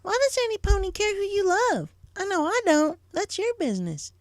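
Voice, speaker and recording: A man's voice: very high-pitched, huge pitch swings, a little fast, dry recording, clean studio sound, pitched above the performer's own voice, heavily acted. A man voicing a feisty, stubborn little filly pony, a younger sister in an audiobook story, in a childish manner. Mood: puzzled.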